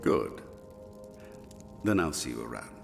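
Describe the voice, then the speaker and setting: A commanding fantasy-game official with an extremely low voice that swings widely in pitch. He is stern, shrewd and brooding, picking his words with care over a music backing. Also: lively intonation, slow, vocal fry, exaggerated voice. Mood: cold, formal. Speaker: voice actor. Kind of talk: dramatic video game voice acting. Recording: music behind the voice.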